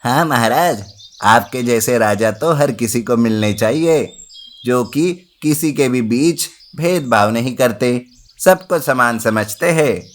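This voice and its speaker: A man's voice: medium pitch, animated, takes it slowly. A man voicing an admiring, loyal monkey who speaks respectfully in a children's animal story. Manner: respectful, approving.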